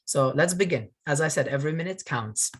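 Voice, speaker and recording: A man from northern Iraq giving a webinar over a video call, earnest and grateful, often pausing with fillers. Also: on the high side, animated. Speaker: webinar presenter, career coach. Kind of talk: presentation.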